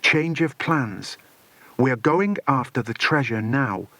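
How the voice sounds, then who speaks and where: A man voicing a cold, confident male villain in a children's audiobook, speaking with deliberate menace. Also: medium pitch, lively intonation, pushed and bright, no echo, exaggerated voice. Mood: scheming.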